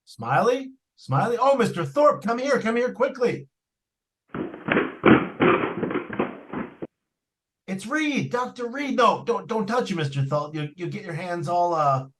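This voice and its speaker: A man's voice: high voice, expressive, normal speed, hardly any pauses, very noisy. A man playing an eager, talkative property salesman in old-time radio suspense style, with a folksy, persuasive manner. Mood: alarmed, urgent. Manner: folksy, persuasive, colloquial phrasing. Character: eager, talkative salesman trying to unload a haunted house.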